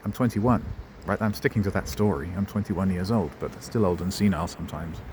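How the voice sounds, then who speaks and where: A middle-aged man talks his way through a town on foot, his voice sitting low. He wanders off on tangents and makes dry jokes at his own expense. Faint traffic hums behind him in the open street. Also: fairly quick. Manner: wry, digressive, self-mocking asides. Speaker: travel vlogger. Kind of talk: rambling walking-tour commentary. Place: outdoors in a town centre street.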